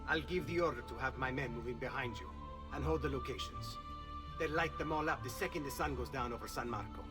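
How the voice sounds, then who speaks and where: An actor playing an urgent, practical leader of conspirators with an Italian accent in a video game. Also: male voice, mid-range voice, brisk, natural voice. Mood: resolute. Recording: music under game dialogue. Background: game music.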